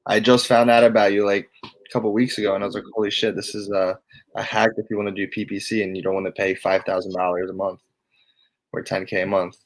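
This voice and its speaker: A man's voice: fairly low pitch, level tone, fast talker, low-quality recording. A man who is a real estate investor chatting casually on a call, enthusiastic and swearing freely.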